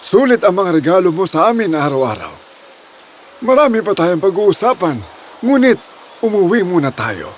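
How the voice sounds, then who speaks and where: A man voicing a gracious, commanding king in an animated fairy tale, stately and declamatory. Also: high voice, normal speed, slight background noise. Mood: pleased, gracious. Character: hearty, gracious storybook king, grateful and commanding. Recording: music under the voice.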